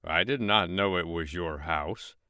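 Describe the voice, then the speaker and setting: A man voicing a simple, easygoing peasant woodchopper in a storybook reading, close to his own low, smooth voice. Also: measured pace, bright, forceful tone, dry recording, full character voice. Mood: mild.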